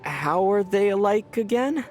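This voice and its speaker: An actor playing a calm, good-natured teenage boy adventurer in a video game, dry and gently teasing. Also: medium pitch, a little slow, faint music behind, natural voice. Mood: wry.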